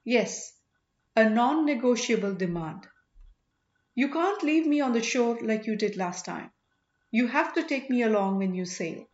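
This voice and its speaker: A woman's voice: on the high side, animated, unhurried, a little mellow. A woman voicing a proud, strong-willed and devoted young princess in an audiobook story. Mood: firm. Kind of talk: audiobook character dialogue. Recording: roomy, slightly dull home recording.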